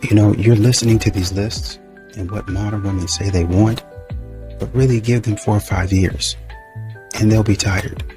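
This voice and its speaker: A man's voice: lowish voice, steady tone, normal speed. A man giving casual, off-the-cuff commentary in a conversational way. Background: music.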